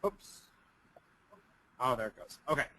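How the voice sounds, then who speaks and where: Young male presenter, slightly raspy from a cold, halting delivery full of ums and restarts. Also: medium pitch, animated, very noisy. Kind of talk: recorded conference presentation.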